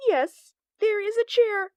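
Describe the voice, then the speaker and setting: An actress in a 1940s radio drama playing a frightened young woman who talks in a tearful, high-strung way. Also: takes it slowly, dry recording. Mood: tense.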